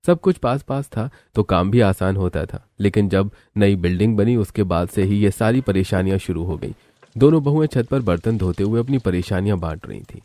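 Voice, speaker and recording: A man's voice: lowish voice, fairly quick, dark tone, no room sound. A man narrating a scripted cartoon story as the storyteller.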